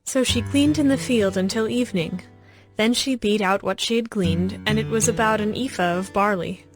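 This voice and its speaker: A woman narrating a scripted, dramatized scripture audiobook in a measured storytelling manner. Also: medium pitch, soft background music.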